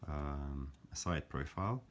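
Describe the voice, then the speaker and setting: A man calmly explaining a paper craft step by step, in a gentle, measured way with frequent fillers. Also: a bass voice, slight background noise. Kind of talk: calm step-by-step craft tutorial.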